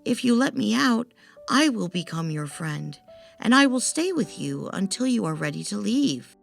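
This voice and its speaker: A woman voicing a small, gentle fairy in a storybook tale, pleading and earnest, loyal to her friend. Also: medium pitch, big pitch swings, normal speed, gravelly, soft background music, pitched above the performer's own voice. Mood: earnest, reassuring. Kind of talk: storybook character voice.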